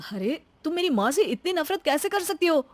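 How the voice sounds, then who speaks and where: A woman's voice: high voice, big pitch swings, brisk, no echo. A woman voicing a reasonable, teasing young husband in a cartoon story. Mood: reproachful, teasing.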